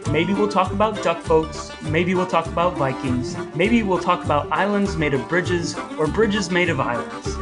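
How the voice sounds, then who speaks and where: A man co-hosting a podcast in a chatty style, with a wry, mock-formal manner. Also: on the high side. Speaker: podcast co-host.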